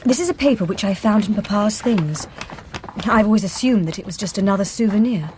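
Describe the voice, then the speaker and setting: A woman's voice: medium pitch, lively intonation, fairly quick, darkish tone, lightly acted. An actress playing a polite, composed young lady in a radio drama, formal and well-spoken. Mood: composed, helpful. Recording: noisy radio drama background.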